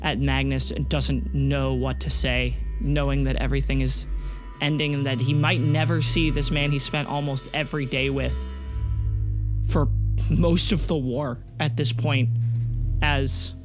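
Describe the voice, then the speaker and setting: A man narrating scenes as game master, speaking in a slow, measured way with many pauses. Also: medium pitch, flat delivery. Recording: loud music bed.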